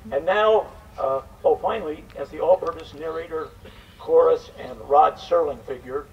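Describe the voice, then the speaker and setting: A man's voice: on the high side, moderate pace, muffled recording. An older man introducing and narrating a live event, reading from a script as presenter. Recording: distant mic, faint background noise.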